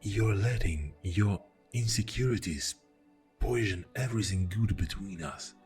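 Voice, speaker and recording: A man playing an exasperated, defensive boyfriend in an ASMR audio roleplay, speaking in a deep, soft voice with heavy sighs. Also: soft background music, lightly acted. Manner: slow, pausing, with heavy sighs. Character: exasperated, defensive boyfriend arguing with a jealous partner.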